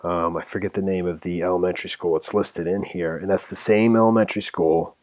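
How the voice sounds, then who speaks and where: A man giving informal commentary on a news story, part reading and part ad-libbing, halting and rambling as he stumbles over words. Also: low-pitched, fairly even intonation, darkish tone, dry recording.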